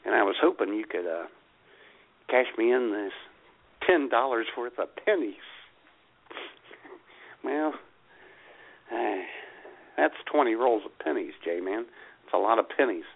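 A man co-hosting a casual comedy radio show, telling stories in an animated, exasperated way. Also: medium pitch, a little slow, gravelly, long pauses. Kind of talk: casual comic banter and storytelling. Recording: tinny phone-line internet radio sound.

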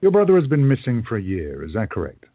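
A man playing a courteous, earnest gentleman shopkeeper in a radio play, gentle and measured in manner. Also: medium pitch, huge pitch swings, slightly rough, no echo. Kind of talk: hobby radio play acting. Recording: dull lo-fi audio.

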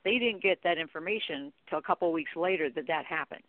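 A woman's voice: low-pitched, fast talker, dry recording. A middle-aged woman speaking as an interview guest, explaining things informally and peppering her points with "you know". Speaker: guest, contact center training expert. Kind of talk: conversational interview discussion. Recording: narrow, tinny phone-like line.

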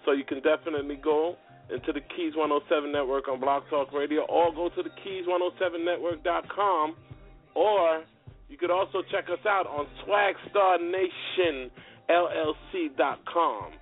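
A man's voice: on the high side, steady tone, normal speed. Casting: man as slangy radio interview host, conversational, narrow phone-quality audio with faint music. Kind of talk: casual talk radio interview.

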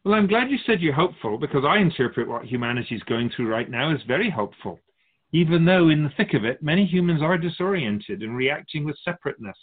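A man playing a calm, wise otherworldly spirit guide in a radio drama, measured, philosophical and gently teasing. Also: fairly high pitch. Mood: gently reassuring. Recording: muffled phone-like narrow band.

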